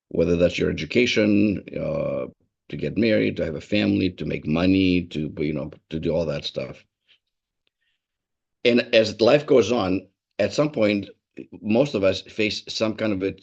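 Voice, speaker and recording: Native Greek speaker, gravelly and low, reflective keynote manner, muffled call audio. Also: male voice. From Greece. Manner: measured, storytelling, with many restarts. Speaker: wellness and happiness speaker. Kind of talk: reflective talk on a video call. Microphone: video call.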